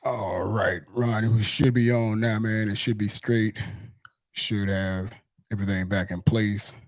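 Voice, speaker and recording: A man's voice: lowish voice, fairly even intonation, a little slow, no echo, clean studio sound. A man from Mississippi, hosting a casual sports fan chat in a laid-back, slangy way, often restating himself. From Mississippi, United States. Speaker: host of a sports fan show.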